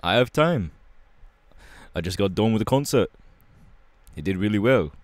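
Man playing a low-voiced punk rebel, close-up. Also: animated, moderate pace, dry recording, close to an everyday voice. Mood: casual, dismissive. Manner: slangy, casual, drawling with hesitations. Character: laid-back rebellious young British punk superhero bassist, irritable. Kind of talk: ASMR audio roleplay.

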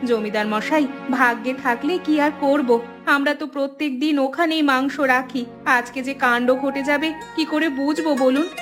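A woman's voice: sits high, fairly even intonation, normal speed, natural voice. A woman voicing an earnest village meat seller in a cartoon fairy tale, pleading and reassuring. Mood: placating. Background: music.

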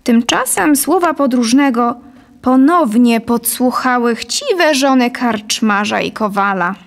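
A woman's voice: fairly high pitch, takes it slowly. A woman in her thirties reading scripted story narration into a close studio microphone. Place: dim studio set, indoors. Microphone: close studio condenser microphone.

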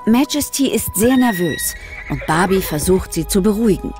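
A woman's voice: mid-range voice, animated, normal speed, over loud music. A woman narrating a scripted children's story.

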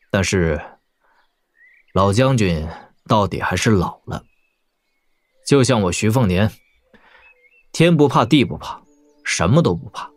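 Casting: young frontier prince in audio drama, measured and authoritative, lively intonation over faint music. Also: male voice, on the low side. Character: young prince and frontier warlord, calm, commanding.

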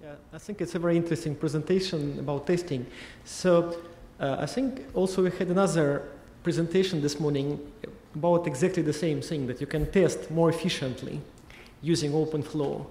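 A man's voice: a little high, steady tone, a little fast, dark tone. A man in the audience asks a hesitant question through an aisle microphone in a large conference hall, repeating himself as he goes. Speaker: audience member asking a question. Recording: echoey hall ambience.

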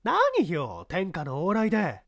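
A woman's voice: on the low side, huge pitch swings, takes it slowly, no room sound, clean studio sound, heavily acted. A woman voicing a bold, teasing, defiant young woman in an audiobook drama. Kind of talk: audiobook character acting.

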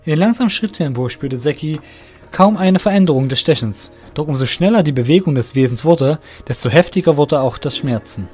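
A man reading scripted narration for an audio drama in a measured storytelling way. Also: a little high, lively intonation, darkish tone, slightly rough, soft background music.

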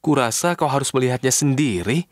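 A man's voice: medium pitch, lively intonation, brisk, background noise, natural voice. A man voicing a friendly, reassuring helper in a children's cartoon, with an encouraging, swooping delivery. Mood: helpful.

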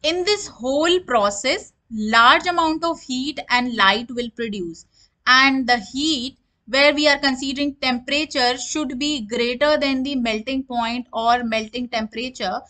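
Woman lecturer, high bright voice, says things twice in easier words. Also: measured pace. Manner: explanatory, restates terms in simple words. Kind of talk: technical lecture over slides. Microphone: voice-over.

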